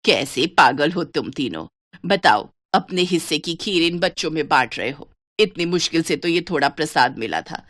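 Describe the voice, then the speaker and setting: A woman's voice: low-pitched, animated, normal speed, a bit bright, dry recording, clean studio sound, voice pushed down. A woman voicing a greedy, grumpy old village grandmother who scolds and complains. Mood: grumbling, irritated.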